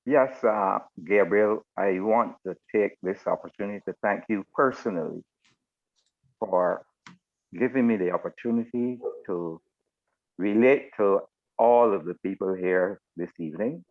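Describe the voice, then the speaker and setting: Phone-like, low-voiced older Barbadian man. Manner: formal, deliberate phrasing with anecdotes. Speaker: retired military officer giving closing remarks. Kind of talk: informal thank-you speech on a group call. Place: online video meeting. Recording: narrow phone-like video call audio.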